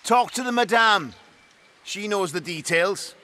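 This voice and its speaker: A man's voice: sits high, expressive, a little slow, sharp tone, exaggerated voice. An actor playing a mocking, greedy, evasive townsman in a video game. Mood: taunting, evasive. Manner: taunting, evasive.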